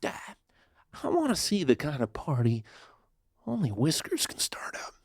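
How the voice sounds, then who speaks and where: Cocky, animated Old West outlaw. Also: male voice, fairly high pitch, normal speed, husky, dry recording, studio quality, full character voice. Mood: boastful, confiding. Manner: showboating, chuckling, theatrical. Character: cocky, fun-loving, silver-tongued male outlaw of the Old West. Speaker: outlaw narrator and lead character. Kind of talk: western audio drama acting.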